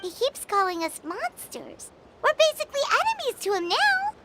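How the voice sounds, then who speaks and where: A woman voicing a tiny, eager, excitable fairy companion girl in a video game, talking in an exclamatory way. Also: very high voice, normal speed, very noisy. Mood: worried. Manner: refers to self in third person, exclamatory.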